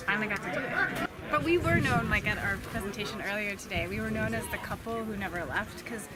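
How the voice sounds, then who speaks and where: A woman's voice: mid-range voice, quick pace. A woman talking casually as an interview guest, chatty with lots of fillers and easy laughter. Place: outdoors at an overland rally. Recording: outdoor recording with loud crowd behind.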